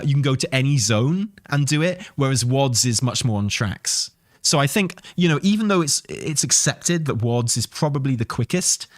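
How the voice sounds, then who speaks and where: A man's voice: mid-range voice, no room sound, studio quality. A man talking casually as a gaming stream host, rambling and enthusiastic, with some swearing. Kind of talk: casual livestream chat and commentary on game news.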